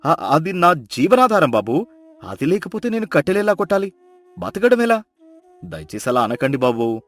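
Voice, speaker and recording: Man as animated, pleading poor woodcutter. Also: fairly high pitch, normal speed, dry recording. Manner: pleading, grumbling. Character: meek, weary, poor woodcutter husband.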